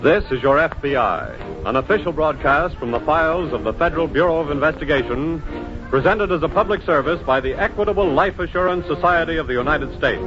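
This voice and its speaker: A man announcing a 1940s radio drama in a formal, emphatic style. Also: on the high side. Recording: dull 1940s radio recording with music.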